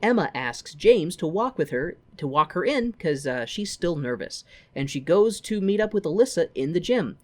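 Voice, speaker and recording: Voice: medium pitch, big pitch swings, moderate pace. A podcast co-host chatting casually and recapping a story in an irreverent, profane, jokey way with quoted lines thrown in.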